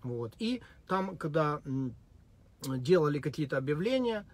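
A man giving a deadpan, mock-serious spoken monologue with many hesitations and fillers. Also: fairly high pitch, unhurried. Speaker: vlogger.

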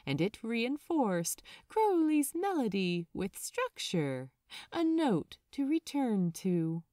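A woman reading a story as an audiobook narrator, expressive, dramatic and measured. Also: mid-range voice, no room sound, clean studio sound.

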